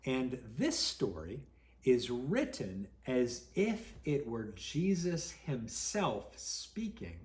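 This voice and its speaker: Expressive storytelling man, animated pitch swings. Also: roomy sound. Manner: dramatic, with deliberate pauses. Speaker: pastor reading a children's story.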